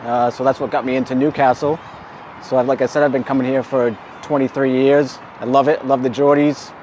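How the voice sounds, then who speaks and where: A man's voice: medium pitch, flat delivery, fairly quick, a little mellow, slight background noise. Easygoing man in his forties. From Boston, USA. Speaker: fan being interviewed.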